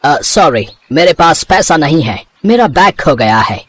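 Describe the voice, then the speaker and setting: A man's voice: fairly high pitch, very animated, unhurried, a bit bright, a little hiss or hum. An actor playing a polite, earnest foreign businessman in a cartoon moral story, hesitant and mixing in English phrases. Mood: flustered, pleading.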